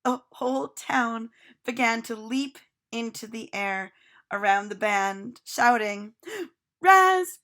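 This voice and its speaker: Creaky, unhurried woman reading a storybook. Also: a little high, flat delivery, fairly bright tone. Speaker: teacher reading a storybook aloud. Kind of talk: expressive children's storybook narration.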